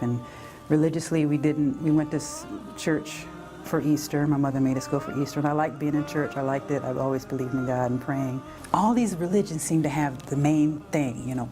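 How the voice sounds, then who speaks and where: An American woman shares a personal story in a casual, conversational way. Music plays clearly behind her voice throughout. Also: low voice. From United States, raised on military bases. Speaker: interviewee telling a conversion story.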